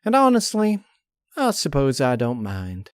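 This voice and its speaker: A man's voice: mid-range voice, huge pitch swings, a little slow, smooth, no echo, clean studio sound, voice pushed up. A man voicing a good-natured, weary female farm pony in a story, with a mild, resigned, folksy manner.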